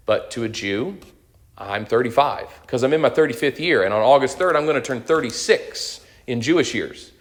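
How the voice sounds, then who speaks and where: A man's voice: mid-range voice, lively intonation, moderate pace. Man in his thirties teaching scripture. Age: 30s. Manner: explanatory, thinks aloud with fillers and restarts. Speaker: Bible teacher. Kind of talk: unscripted lecture on scripture.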